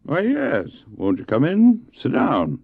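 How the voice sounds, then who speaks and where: An actor playing a kindly missionary doctor who tells stories in an expressive, folksy way in an audio drama. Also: male voice, fairly high pitch, slow pace, creaky, breathy edge, dry recording, full character voice. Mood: genial. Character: kindly, unhurried missionary doctor and sled-dog owner in Labrador. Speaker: actor, storyteller within the drama. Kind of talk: radio drama acting, storytelling. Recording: dull, dry old cassette audio drama.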